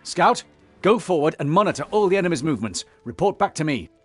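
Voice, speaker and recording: An actor playing a noble, resolute young warrior leader in a fantasy game, earnest and commanding. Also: male voice, on the high side, very animated, fast talker, fairly bright tone, soft background music. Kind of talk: fantasy video game voice acting.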